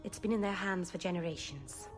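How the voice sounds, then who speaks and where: A woman acting a gentle, naive young elf mage in a video game, earnest and a little halting. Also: fairly low pitch, over music, natural voice. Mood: earnest, defensive.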